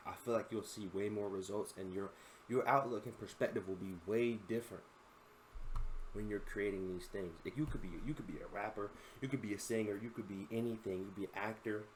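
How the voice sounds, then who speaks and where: A man's voice: lowish voice, a little hiss or hum, rough recording. A 21-year-old man and content creator, giving casual, rambling reaction commentary full of slang and constant "bro.".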